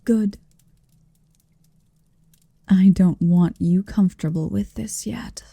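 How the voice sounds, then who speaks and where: A woman voicing a possessive, seductive motherly dragon in an intimate ASMR roleplay, breathy and whispery, speaking slowly and deliberately close to the microphone. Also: lowish voice, warm tone, no room sound, full character voice. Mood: possessive, teasing. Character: possessive, seductive motherly female dragon hoarding her captive. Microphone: close microphone, dry.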